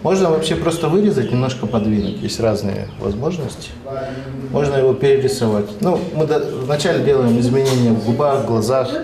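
A man in his thirties giving a casual interview, explaining his work in a halting, informal way. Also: mid-range voice, animated, crowd noise. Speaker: animation artist, interviewee. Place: small office studio, indoors. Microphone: handheld TV reporter microphone. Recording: echoey room with people chattering.

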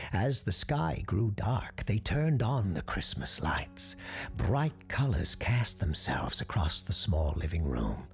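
A storyteller narrating a scripted ghost story in a hushed, deliberate, suspenseful and dramatic way. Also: medium pitch, creaky. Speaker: storyteller, host.

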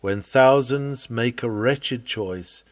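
A man reading a scripted sermon aloud in an earnest, measured, expressive preaching manner. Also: mid-range voice, dry recording. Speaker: reader of a historical sermon.

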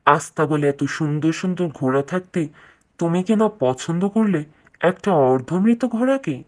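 A woman's voice: on the low side, animated, moderate pace, natural voice. A woman voicing a proud male king in a children's cartoon fairytale, speaking in a regal, declamatory way. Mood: annoyed.

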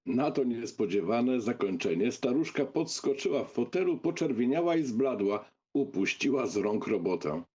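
A male narrator reads literary prose expressively. His voice is husky and of medium pitch, with lively, wide intonation. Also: normal speed, hardly any pauses. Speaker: audiobook narrator.

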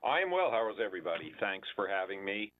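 A man with a fairly low voice explains his department's needs at a public budget hearing, pausing often with fillers and restarts. He joins by video call, and the line sounds tinny and phone-like. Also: very animated. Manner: halting, many fillers and restarts. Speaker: building department official.

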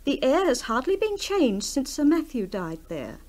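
A woman's voice: sits high, big pitch swings, moderate pace, a little mellow. A woman playing a deferential, anxious manor housekeeper in a radio drama, respectful and fretful. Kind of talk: 1960s radio drama acting.

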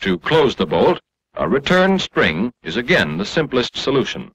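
An American man narrating a scripted 1940s instructional film in a measured, explanatory manner, on an old film soundtrack. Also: medium pitch, wildly swooping pitch, a bit bright, slightly rough, lo-fi sound.

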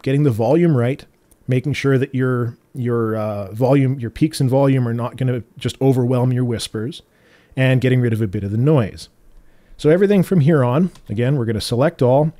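A man with a bassy voice walks through software steps in a relaxed, explanatory way, with fillers and restarts. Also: dry recording. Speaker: tutorial host. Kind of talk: screen-recorded software tutorial.

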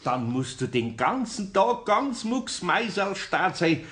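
A man's voice: sits high, big pitch swings, slow pace, a bit bright, heavily acted. An older man playing a grumpy, hungover craftsman in a radio play, with a Bavarian accent and a weary, groaning, homely delivery. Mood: weary, soothing. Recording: noisy old radio recording.

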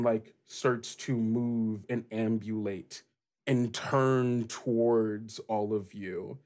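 A man improvising narration as game master of a tabletop game, describing scenes casually and haltingly. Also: medium pitch, darkish tone.

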